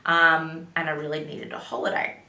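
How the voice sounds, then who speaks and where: A woman's voice: deep voice, monotone, moderate pace, bright, forceful tone. A young woman chatting casually to camera, full of "like" fillers and little apologies. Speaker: vlogger. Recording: roomy echo.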